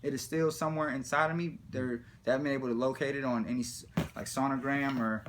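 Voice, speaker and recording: A man telling a comic personal story in a rambling, slangy, profane way with animated retelling. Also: medium pitch, fast talker. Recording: background noise, room ambience.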